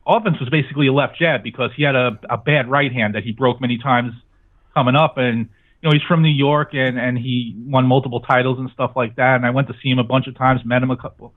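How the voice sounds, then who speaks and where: A man's voice: medium pitch, fairly even intonation, fast. A man chatting casually as an interview guest, giving blunt, opinionated answers. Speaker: guest, sports radio show host. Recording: thin phone-like line.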